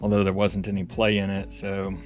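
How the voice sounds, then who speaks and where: Man, low voice, casual repair vlogger, folksy, rambling, thinking aloud. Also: monotone, fairly quick, soft background music. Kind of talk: casual hands-on repair commentary.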